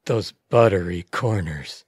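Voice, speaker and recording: A man's voice: deep voice, a little slow, a little mellow, husky, no echo, clean studio sound. A man playing a brash, teasing, crude best buddy in an audio theatre comedy. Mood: teasing, blunt.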